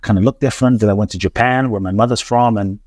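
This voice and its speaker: A man with a lowish voice talks earnestly as an interview guest. His speech is reflective and full of restarts and repeated words. The sound is dry and close. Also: fairly even intonation, fast, no room sound. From grew up in London. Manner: earnest, repetitive, frequent restarts and stammered repeats. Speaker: transformational teacher, podcast guest.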